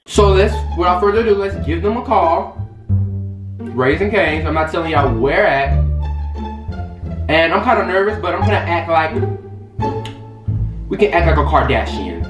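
A young man, vlogging in animated casual chat with a theatrical, excitable manner. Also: on the high side, normal speed, pauses often. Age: young adult. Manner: theatrical, excitable, bursts into shouting. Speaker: vlogger making prank calls. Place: small bare bedroom. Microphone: camera a little way off. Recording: roomy echo, music and chatter behind.

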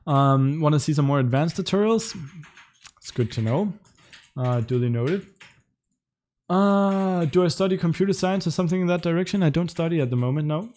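Relaxed chatty young Danish man. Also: on the high side, normal speed, long pauses. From Denmark. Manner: relaxed, chatty, frequent ums and light laughs. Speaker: livestream host answering chat questions. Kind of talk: casual livestream Q&A. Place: indoor home room in front of a webcam.

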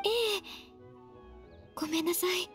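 Soft-spoken young secretary. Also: female voice, very high voice, measured pace, faint music behind. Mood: polite. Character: gentle, dutiful young secretary woman. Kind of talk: Japanese game dub.

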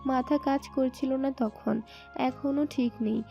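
A woman's voice: sits high, steady tone, slow pace, gravelly, dark tone. A woman reading scripted dramatic narration for an audio story. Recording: muffled band-limited sound, music bed.

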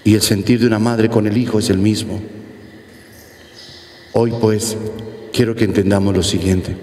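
Man, Mexican Spanish accent, priest at the pulpit, lower voice, roomy church with long reverb. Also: level tone, normal speed, a little mellow, soft background music. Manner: earnest preaching with repeated phrases and gestures. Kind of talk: homily at Mass. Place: large church sanctuary, at the pulpit. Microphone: pulpit mic, medium camera shot.

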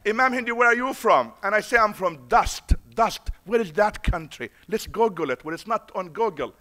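A man's voice: high voice, animated, normal speed, pushed and bright. A middle-aged man with a foreign accent, an imam giving a conference speech at a podium, preacher-like and repeating lines for emphasis. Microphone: podium mic.

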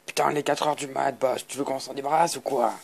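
A man voicing grumbling, theatrical skeleton brothers in an amateur comic dub, with a gravelly voice. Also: medium pitch, fairly even intonation, a little fast. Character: pompous skeleton boss and his weary, sarcastic brother.

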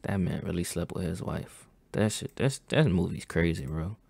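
A young man hosting a casual reaction video at home, talking excitedly in slang and saying bro a lot, on a headset mic. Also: low voice, normal speed, vocal fry, dry recording. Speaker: reaction channel host. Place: home gaming setup, indoors.

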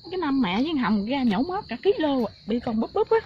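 A woman's voice: high-pitched, very animated, fast. A middle-aged woman with a southern Vietnamese accent, chatting casually outdoors. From Ben Tre, southern Vietnam. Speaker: mushroom picker, mother of family. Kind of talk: casual chat. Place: outdoors by a creek in a coconut grove. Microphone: off-camera, picked up by filmer's device. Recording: dull outdoor recording, loud insect noise.

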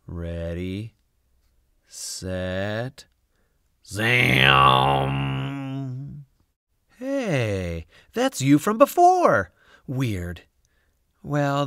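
A man reading a children's picture book aloud, expressive and theatrical, with dramatic drawn-out emphasis. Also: fairly low pitch, creaky, clear, resonant tone, no echo, clean studio sound. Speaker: storybook reader.

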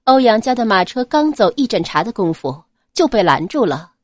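A woman narrating a serialized audio novel in a smooth, expressive storytelling manner. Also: medium pitch, moderate pace, no echo, studio quality.

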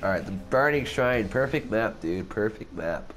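A man's voice: lowish voice, lively intonation, moderate pace, fairly bright tone, faint music behind. A young man with a sick, cracking voice, giving laid-back commentary while playing a game. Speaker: gaming channel host. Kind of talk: casual gameplay commentary.

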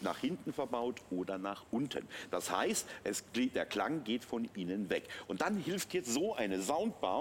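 A man's voice: mid-range voice, slight background noise. A middle-aged man giving a live sales demonstration on a shopping channel, explaining in a conversational way. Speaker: shopping channel product presenter. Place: TV studio.

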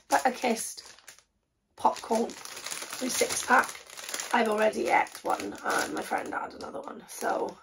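A young woman with a croaky, sore-throated voice talks slowly and casually, full of ums and restarts. Her voice sits a little high and has a gravelly edge. She is recorded on a camera in a small bedroom with a faint hiss behind her. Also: rough recording. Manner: chatty, rambling with frequent ums and self-corrections. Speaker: vlogger. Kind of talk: casual haul video chat.

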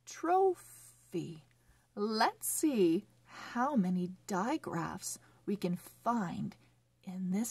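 A woman hosting a children's read-along phonics lesson, speaking in a sing-song, encouraging way with deliberate, exaggerated enunciation. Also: medium pitch, darkish tone, slightly rough, no room sound.

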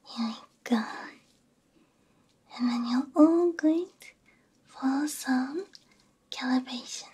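A woman doing a whispered ASMR roleplay, soothing and gently reassuring, close on a binaural microphone in short hushed phrases. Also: high voice, takes it slowly, long pauses, slightly rough. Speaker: VTuber ASMR host.

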